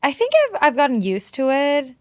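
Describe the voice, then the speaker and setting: A woman's voice: sits high, brisk, a little mellow, no echo, clean studio sound. A woman from Sweden, a native Swedish speaker, chatting informally and animatedly as a podcast guest. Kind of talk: casual chat.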